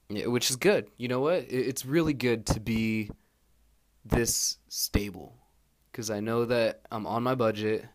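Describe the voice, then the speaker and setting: Rambling young man, low easygoing voice. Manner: meandering, full of fillers and restarts; laid-back and candid. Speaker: exchange student keeping an audio diary. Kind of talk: rambling personal audio log. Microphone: handheld recorder held close.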